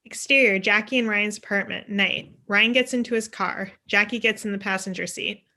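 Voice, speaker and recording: A woman's voice: mid-range voice, moderate pace, sharp tone. A young woman reading scripted stage directions as narrator on a video call. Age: young adult.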